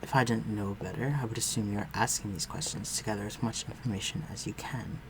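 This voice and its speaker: A man playing a refined, protective vampire lord in an intimate audio roleplay, speaking formally and with measured command. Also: lowish voice, fairly even intonation, noisy background. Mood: suspicious, teasing. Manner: formal, measured, archaic phrasing, dropping to breathy murmurs.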